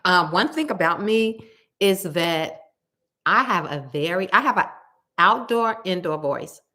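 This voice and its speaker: A woman with a loud voice that carries, talking casually to her viewers. Her voice has a bright, forceful edge over a fairly low pitch. She tells stories with animated emphasis and repeats words over and over to drive her point home. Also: a little slow, slight background noise. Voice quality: loud, carrying voice. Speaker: cooking vlogger.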